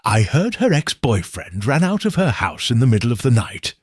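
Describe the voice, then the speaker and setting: Guy doing nosy neighbor lady gossiping, husky, storybook. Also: mid-range voice, very animated, normal speed, no room sound, studio quality. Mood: gossipy. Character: gossiping neighbor woman at a salon.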